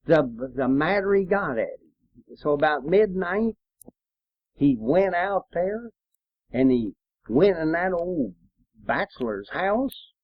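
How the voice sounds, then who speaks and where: An elderly man with a rural American accent tells old stories at a very unhurried pace. His voice sits a little high for a man, and his delivery is folksy and halting, wandering along as oral history. The recording is slightly dull and lo-fi. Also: lively intonation. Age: 80s or older. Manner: folksy, halting, plain-spoken. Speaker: World War II veteran recounting his service. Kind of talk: rambling oral-history storytelling. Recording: muffled, roomy, lo-fi home recording.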